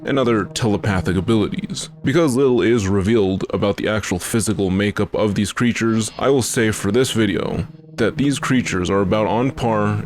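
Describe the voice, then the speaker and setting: A man's voice: on the low side, a little mellow. A man narrating a scripted video essay as its host.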